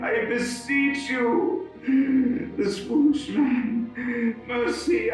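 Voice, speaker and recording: An actor playing an anguished, lovesick, mad phantom in a gothic audio drama, pleading in florid, theatrical declamation with French interjections. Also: male voice, high voice. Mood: desperate begging. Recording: very echoey hall, distant, music behind.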